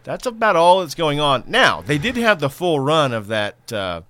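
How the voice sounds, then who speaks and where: A man's voice: fairly high pitch, sing-song, normal speed, sharp tone, dry recording, studio quality. A man co-hosting a film podcast, talking casually with wry, profane banter.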